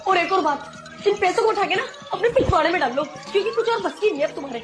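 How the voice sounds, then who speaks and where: A woman acts out an angry cartoon wife with an extremely high voice. She scolds and exclaims at a foolish husband. Music plays clearly behind her. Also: expressive, quick pace. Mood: scornful. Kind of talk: comedy cartoon skit dialogue.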